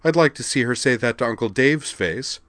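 A man's voice: mid-range voice, animated, moderate pace, a bit bright, no room sound, pitched above the performer's own voice, close to an everyday voice. A man reading the part of an outraged young alien woman student, snappish and indignant.

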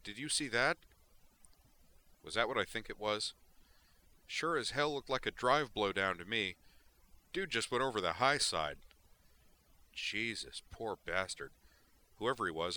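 A man's voice: lowish voice, bright, forceful tone, pitched above the performer's own voice. A man voicing a group of shaken male fighter pilots trading radio chatter.

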